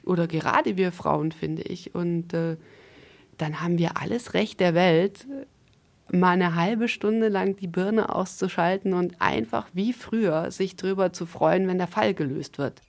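A woman's voice: lowish voice, measured pace, creaky. A woman being interviewed, giving her opinion in a conversational style.

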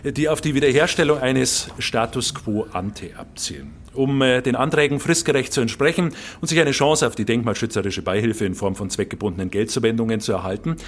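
A man's voice: mid-range voice, a little fast, hardly any pauses, slight background noise, lightly acted. A man playing a pompous, fussy heritage official who talks in stilted, hesitant, bookish officialese in a drama. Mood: pedantic, regretful.

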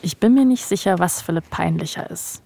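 A woman's voice: lowish voice, animated, fairly quick, creaky, dark tone, a little hiss or hum. A woman hosting and narrating a scripted podcast story. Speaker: narrator, host.

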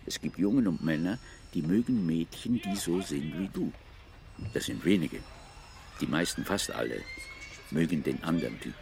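An actor playing a brooding old man who philosophizes slowly and solemnly in a radio drama. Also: low-pitched, expressive, a little mellow. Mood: musing, melancholy. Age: older. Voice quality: gravelly.

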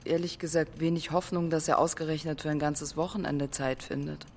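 An actress playing a doubtful, hesitant counselling client in a naturalistic radio drama. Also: female voice, sits low, steady tone, a little fast, darkish tone, a little hiss or hum.

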